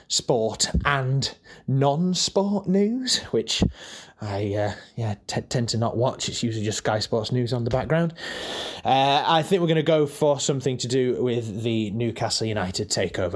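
Rambling man in casual podcast chat. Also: medium pitch. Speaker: podcast director, editor and voice actor. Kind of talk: casual behind-the-scenes podcast talk.

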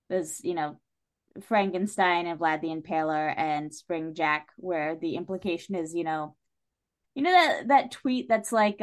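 A woman guest on a podcast, explaining a plot in a casual, conversational way and acting out bits of imagined dialogue. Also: medium pitch. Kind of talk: casual spoken plot synopsis.

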